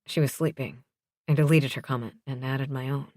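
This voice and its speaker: A woman narrator plays a drowsy young boyfriend in a romance audiobook. He speaks low and softly, mumbling with tender affection, very close and dry. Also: steady tone, moderate pace, no room sound. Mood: sleepy, tender. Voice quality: soft, sleepy mumble.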